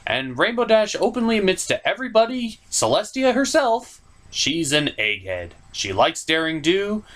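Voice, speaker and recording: A man's voice: high voice, very animated, normal speed, a bit bright. A man giving scripted, comedic review commentary with theatrical, mock-incredulous emphasis.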